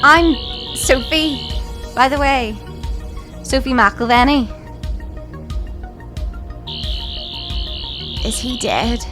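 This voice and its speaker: A woman's voice: high voice, slow pace, over music. A young woman playing a plucky, sarcastic and incredulous student in an audio drama. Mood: disbelieving. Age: young adult.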